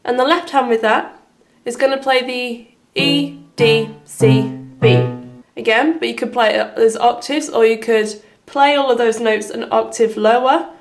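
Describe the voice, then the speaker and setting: A woman with a slightly hoarse voice giving step-by-step piano instruction as the host of a tutorial. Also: fairly high pitch, steady tone, unhurried. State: slightly hoarse from having been unwell. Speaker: piano teacher and video host. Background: piano playing.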